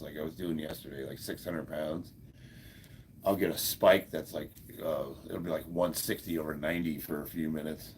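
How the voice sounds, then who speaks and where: A man in his fifties with a deep, gravelly voice, stuffed up, chatting casually on a livestream and answering viewer comments with frequent chuckles. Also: darkish tone, a little hiss or hum. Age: 50s. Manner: laid-back, chuckles often, many fillers. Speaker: livestream host.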